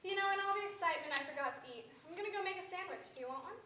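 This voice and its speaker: A woman playing a wry, brisk, kindly and unflappable small-town aunt in a stage play. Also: very high voice, expressive, heavily acted. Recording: echoey distant stage recording with loud noise.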